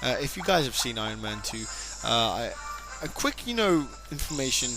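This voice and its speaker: A young man commentating a video game battle, excitable and jokey, speaking to his audience as ladies and gentlemen. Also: mid-range voice, normal speed, rough recording. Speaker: gaming commentator. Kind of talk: Let's Play battle commentary. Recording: music playing behind the voice.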